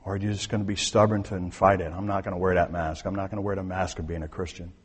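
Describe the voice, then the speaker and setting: Husky-voiced male pastor preaching in a reverberant hall. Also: low-pitched, level tone, quick pace. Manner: conversational preaching, swings from soft to emphatic. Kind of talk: sermon. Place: church sanctuary.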